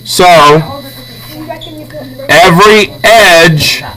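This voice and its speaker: A man's voice: high-pitched, very slow, sharp tone, poor audio. A man teaching a hands-on drawing lesson step by step, in an instructional manner with short check-in questions. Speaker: art teacher. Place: classroom with students. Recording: classroom chatter around the voice.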